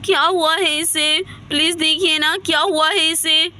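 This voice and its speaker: A woman's voice: very high voice, steady tone, a little slow, bright, forceful tone, exaggerated voice. A woman voicing a distraught, anxious relative of a patient in a dramatised story, pleading with repeated questions.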